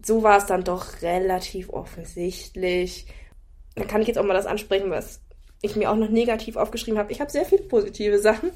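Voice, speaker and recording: A woman talking through a series in a relaxed podcast chat, critical but easygoing. She circles her points and restarts sentences as she thinks aloud, at a middling pitch. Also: fairly quick. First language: German. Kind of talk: casual review chat.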